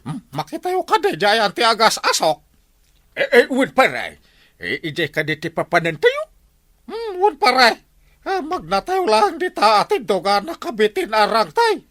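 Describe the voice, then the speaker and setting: An actor with an Ilocano accent playing a hungry, joking middle-aged compadre in a radio comedy, laughing and teasing often. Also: male voice, extremely high pitch, wildly swooping pitch, unhurried, fairly bright tone, no room sound, clean studio sound, exaggerated voice. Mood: cheerful. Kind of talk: 1950s-style radio comedy acting.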